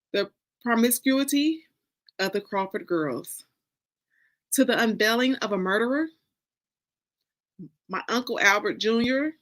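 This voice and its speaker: An American woman from Detroit giving a dramatic first-person story reading, with deliberate, expressive phrasing and pauses. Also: medium pitch. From Detroit, United States. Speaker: author reading from a novel.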